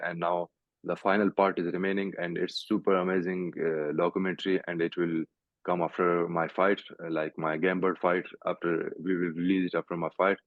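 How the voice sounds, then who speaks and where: A young Pakistani man, a fighter, answering interview questions over a video call in halting English full of "like" and "you know". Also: sits low, dry recording. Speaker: guest fighter. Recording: tinny call audio, dry.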